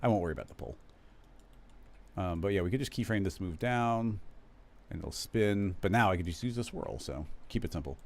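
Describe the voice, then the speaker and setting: A man hosting a live stream, casually talking viewers through a software tutorial, rambling and correcting himself as he goes. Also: low voice, lively intonation, brisk. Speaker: live stream host teaching 3D animation software.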